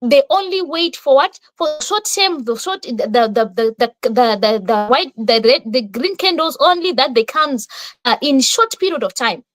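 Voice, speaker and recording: A woman's voice: high voice, normal speed, dry recording. A woman giving a motivational talk on investing, emphatic and repetitive, often asking rhetorical questions. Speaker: guest speaker.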